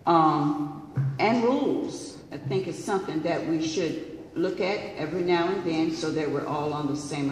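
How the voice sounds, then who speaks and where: Councilwoman talking procedure, steady and firm, way off across a big echoey hall. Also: lowish voice, recorded from a distance, slight background noise. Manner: measured, insistent on procedure. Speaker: council member. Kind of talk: formal public meeting remarks. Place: large council chamber with wood panels.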